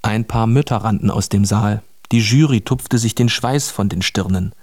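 Low-voiced male radio-drama narrator. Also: normal speed, a little mellow.

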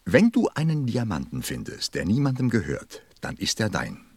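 An actor playing a busy, self-important middle-aged businessman in a radio play, with a gravelly, husky voice and a testy, muttering manner. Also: fairly low pitch, huge pitch swings, normal speed, dry recording, heavily acted. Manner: pompous, testy, muttering, swooping. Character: busy, self-important 54-year-old businessman counting stars.

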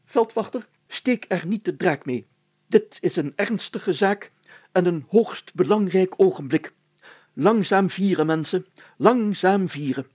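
A man's voice: high-pitched, expressive, unhurried, darkish tone, breathy edge, voice pushed up, full character voice. A man voicing a pompous, solemn village mayor in a storybook, speaking in a formal, declamatory and long-winded way. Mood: stern, commanding. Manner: pompous, declamatory, formal, stammering when flustered.

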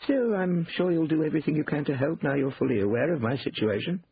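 A man voicing a shrewd older gentleman in an audiobook, politely rueful and slyly composed. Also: on the high side, animated, quick pace, breathy edge, phone-quality sound, pitched above the performer's own voice, exaggerated voice.

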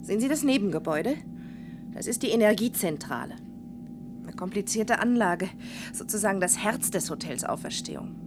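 An actress playing a young woman in a German radio play, brisk, matter-of-fact and earnest as she explains. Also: mid-range voice, big pitch swings, background noise. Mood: brisk guiding. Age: young adult. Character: brisk, earnest young woman, a doctor's daughter acting as guide.

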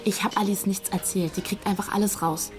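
A woman's voice: mid-range voice, fairly even intonation, a little fast, over music, natural voice. An actress playing a restless young woman in love, offhand and conversational, in a naturalistic radio drama. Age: young adult. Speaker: love interest character.

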